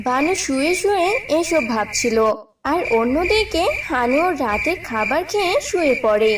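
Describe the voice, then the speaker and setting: A woman's voice: high-pitched, expressive, moderate pace. A woman narrating a scripted cartoon story. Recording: distant voice with clear background noise.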